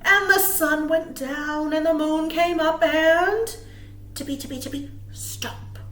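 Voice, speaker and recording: A high-voiced woman reads a children's story in a roomy, echoing space. She works as a storytime librarian, giving words dramatic emphasis and speaking expressively to her young listeners. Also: slow, fairly bright tone. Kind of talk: animated read-aloud to young children.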